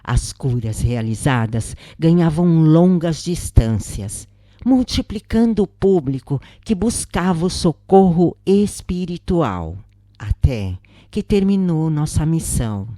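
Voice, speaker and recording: A woman's voice: low voice, animated, slow pace, dark tone, no echo, studio quality. A woman with a Brazilian accent reading a scripted first-person spiritual account as a narrator.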